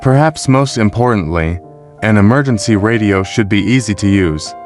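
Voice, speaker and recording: A man with a fairly low voice delivers scripted review narration. He reads in a measured, explanatory manner, like a narrator walking through buying advice. Music plays behind his voice. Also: a little mellow. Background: background music.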